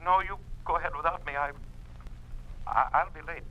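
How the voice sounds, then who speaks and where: An actor in a 1950s radio drama playing a nervous, evasive academic, stammering and strained over a telephone line. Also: male voice, a little high, moderate pace, pushed and bright. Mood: tense, evasive. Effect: telephone filter. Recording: thin telephone sound, old radio recording.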